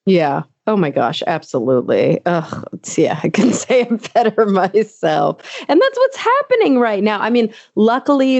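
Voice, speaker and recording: A young adult woman hosting a casual podcast interview, chatty and animated, laughing often and using fillers like "like" and "um". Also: low-pitched, creaky, dry recording, highs cut off. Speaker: podcast host.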